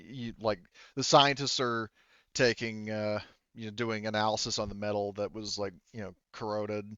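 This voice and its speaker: A man running a tabletop game as game master, improvising in a halting, rambling, filler-heavy way. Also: fairly low pitch, clean tone, dry recording, highs cut off.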